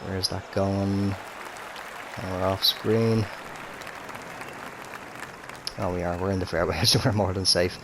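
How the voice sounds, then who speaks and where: A man with a fairly low voice talks through a game in relaxed, rambling commentary, his throat slightly rough from a cold. He speaks into a headset mic in a room at home, with a faint hiss of noise underneath. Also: moderate pace, crowd noise. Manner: easygoing, chatty, frequent fillers and restarts. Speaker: gaming commentator. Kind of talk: casual gameplay commentary. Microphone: gaming headset.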